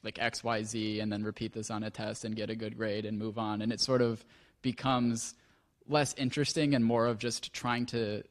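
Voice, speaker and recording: Male panelist, even flat tone, halting thoughtful answer. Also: fairly low pitch. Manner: hesitant, many restarts. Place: lecture hall.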